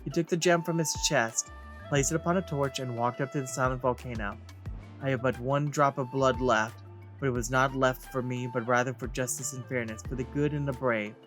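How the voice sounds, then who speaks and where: A man's voice: mid-range voice, steady tone, brisk, fairly bright tone. A man hosting a let's play, reading game text aloud and commenting casually. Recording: game music behind the voice.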